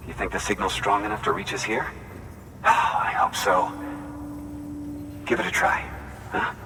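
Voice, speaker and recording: An actor playing a weary, grateful outpost worker in a video game, rattled and blunt, speaking earnestly and a little haltingly. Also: male voice, sits low, distant mic, very noisy. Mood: tentative, hopeful. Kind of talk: naturalistic video game cutscene acting.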